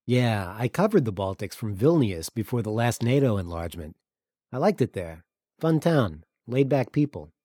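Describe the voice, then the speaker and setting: A man's voice: mid-range voice, very animated, normal speed, warm tone, breathy edge, no room sound, studio quality. A man voicing an easygoing, evasive journalist in an audiobook, matter-of-fact and understated. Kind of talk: audiobook character dialogue.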